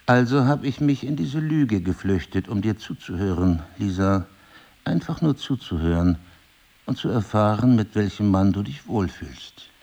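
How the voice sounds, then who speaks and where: An actor playing a married crime novelist in an intimate drama, husky and articulate, probing, cynical and wounded, with deliberate literary phrasing. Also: male voice, fairly low pitch, expressive, darkish tone. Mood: reflective, confiding. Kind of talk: intimate two-person stage drama dialogue.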